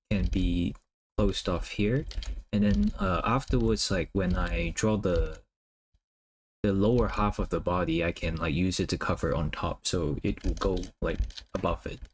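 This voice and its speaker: A man whose first language is Cantonese, chatting casually on a livestream while he draws, musing aloud softly with many fillers. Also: sits low, expressive, moderate pace, a little hiss or hum. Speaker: streamer.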